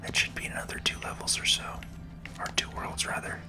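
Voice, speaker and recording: A person whispering calm, unhurried ASMR commentary over a video game playthrough in a soft, breathy voice. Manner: calm, unhurried, gently explanatory. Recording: music behind the whisper. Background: game music.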